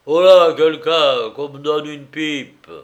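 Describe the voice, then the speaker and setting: A man's voice: fairly high pitch, animated, slow, pitched above the performer's own voice. A man voicing a drunken, maudlin master of a house in an audiobook story, effusive and mock-dignified, with thick slurred consonants. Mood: tipsy, friendly.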